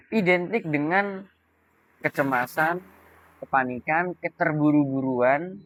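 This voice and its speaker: Young male coach, mid-range pitch, deliberate and emphatic informal explainer, dry recording. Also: a bit bright, no room sound. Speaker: voice coach giving advice. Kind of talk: informal explainer talk to camera.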